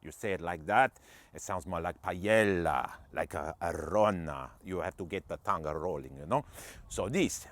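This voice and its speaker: A swaggering Spanish car enthusiast, as a man puts on the voice, showing off a small car in broken English. His voice sits low and swings widely up and down with comic bravado. The sound is dry and close. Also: dry recording. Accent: Spanish (put-on). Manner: jokey, broken English, lively swoops. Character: cheeky Spanish man reviewing a car, boastful.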